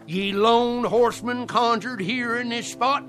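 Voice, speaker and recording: High-voiced, fervent old Southern conjurer. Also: male voice, steady tone, measured pace, over music. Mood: commanding, eerie. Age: older. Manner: folksy, theatrical, rustic dialect. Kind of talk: dramatic radio play acting.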